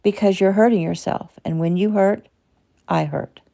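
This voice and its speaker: A woman voicing a gentle, worried husband and father who pleads softly. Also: mid-range voice, fairly even intonation, moderate pace, gravelly, natural voice. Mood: tender. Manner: tender, pleading.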